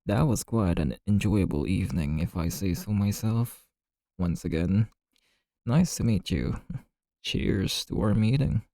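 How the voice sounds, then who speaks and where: A man playing a chatty, easygoing bartender in an ASMR roleplay, telling gossipy stories in a casual, friendly, unhurried way. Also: sits low, warm tone, no room sound, clean studio sound. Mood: relaxed, friendly.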